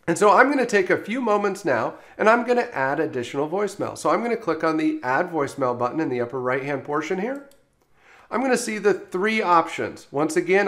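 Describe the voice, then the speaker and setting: Male software trainer, slightly higher register, explanatory step-by-step delivery for instructional screencast narration.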